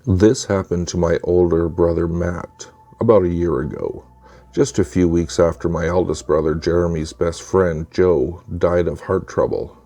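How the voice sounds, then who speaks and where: Deep, mellow-voiced male storyteller. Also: fairly even intonation, normal speed, faint music behind. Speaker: narrator of true scary stories. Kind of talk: scripted storytelling narration.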